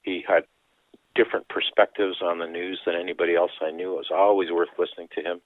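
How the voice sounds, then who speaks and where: A man's voice: low-pitched, little pitch movement, fast talker, no room sound. A man in his sixties from Canada, a priest and author, giving reflective interview answers with frequent restarts. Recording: tinny phone-like line.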